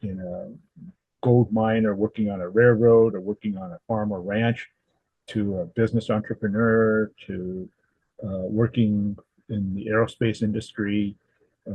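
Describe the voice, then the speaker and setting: A man in his seventies from Southern California, answering interview questions in a thoughtful, halting way with many fillers and restarts. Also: fairly low pitch, breathy edge, dry recording, phone-quality sound. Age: 70s. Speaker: guest, historical society president.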